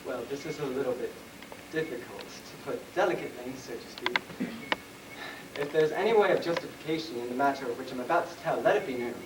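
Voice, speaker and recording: A man playing a smooth-talking, deferential prince's attendant in an amateur stage comedy, polite and measured. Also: mid-range voice, lightly acted. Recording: distant, echoey, noisy stage recording.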